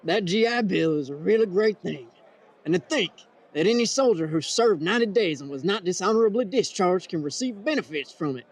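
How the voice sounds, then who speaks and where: Male actor as naive, earnest pal in a radio comedy sketch, innocent straight-man delivery, fairly high voice. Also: animated, brisk. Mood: earnest, curious. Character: earnest, naive male friend of war veterans. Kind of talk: old-time radio sketch comedy acting.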